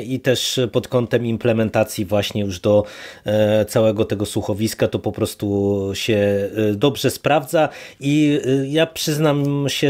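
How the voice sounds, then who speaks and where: A man's voice: medium pitch, a little mellow. A man co-hosting a podcast, discussing a review casually in wordy, hesitant, circling phrases. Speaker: podcast co-host.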